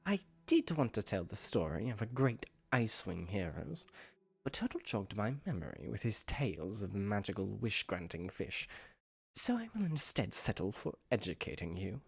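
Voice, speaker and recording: A man's voice: lowish voice, big pitch swings, moderate pace, creaky, slightly rough, no echo, exaggerated voice. A man playing a proud, bitter young ice dragon in an audio drama, lecturing pompously. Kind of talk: fan audio drama acting.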